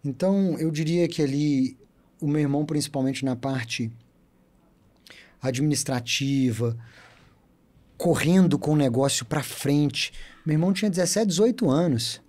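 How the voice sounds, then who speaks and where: A man in his thirties with a Minas Gerais accent, a podcast guest telling his story in an animated, talkative way. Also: a little high, measured pace. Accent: Minas Gerais Portuguese. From Brazil. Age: 30s. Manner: chatty, animated storyteller who loves to talk. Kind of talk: casual storytelling interview.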